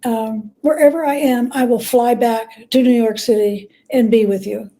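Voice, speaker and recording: A woman talking as an interview guest, telling stories in a halting, reflective way with repeated words. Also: fairly high pitch, steady tone, mellow. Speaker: guest author and biographer. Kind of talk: conversational interview storytelling.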